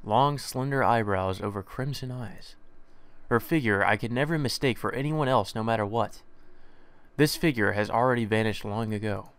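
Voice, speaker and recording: A man's voice: lowish voice, normal speed, fairly bright tone, clear, resonant tone, no echo. A young man reading a visual novel aloud as a let's play narrator, earnest and often correcting himself mid-line. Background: guitar music.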